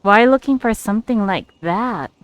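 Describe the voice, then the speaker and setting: A woman's voice: mid-range voice, lively intonation, normal speed, dull audio. A woman gamer giving casual commentary while playing through a story.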